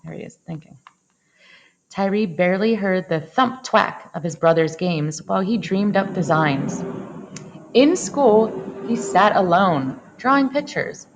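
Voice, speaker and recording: A woman reading a picture book aloud in an expressive storytelling style, dwelling on action words with dramatic phrasing. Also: medium pitch, unhurried, highs cut off, slight background noise. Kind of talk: expressive children's read-aloud narration.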